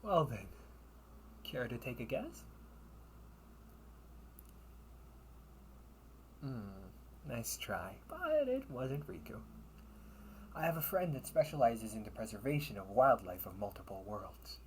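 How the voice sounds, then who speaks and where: A man's voice: medium pitch, sing-song, a little hiss or hum. A man playing a sly, wealthy older brother in a sci-fi audio roleplay, with theatrical, formal, teasing delivery. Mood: fond, teasing. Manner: theatrical, formal wording with teasing chuckles and drawn-out pauses. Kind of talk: solo audio roleplay addressed to the listener.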